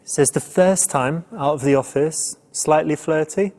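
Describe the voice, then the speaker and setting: A man's voice: fairly high pitch, normal speed, darkish tone. A man giving casual advice commentary in a conversational, explanatory way. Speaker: dating-advice host who also plays the lead. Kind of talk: casual advice commentary with acted office scenes.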